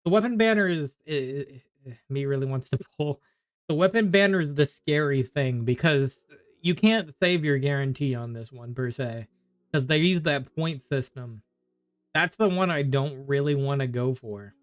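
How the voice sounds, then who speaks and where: A man's voice: mid-range voice, normal speed, clean tone, no echo, clean studio sound. A man chatting casually on a game livestream, rambling and thinking aloud as he plays. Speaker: game streamer. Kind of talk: casual livestream chat while gaming.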